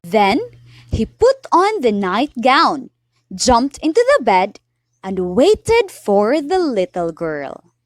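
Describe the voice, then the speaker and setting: A woman narrates a storybook drama with very animated intonation. Her scripted delivery is measured and a little high, recorded dry and close. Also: dry recording.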